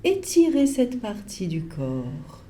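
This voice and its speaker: Female yoga teacher for guided relaxation: soft-edged mellow tone, lilting varied pitch, calm and measured. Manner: calm, measured, pausing between short instructions.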